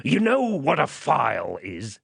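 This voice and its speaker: A rough, dangerous convict from a storybook, acted out by a male narrator, growls coarse rustic threats. The voice is husky and gravelly, swinging widely in pitch as he bullies. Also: wildly swooping pitch, a little slow, a bit bright, no room sound, clean studio sound, exaggerated voice. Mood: threatening. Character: menacing rough escaped convict, adult man.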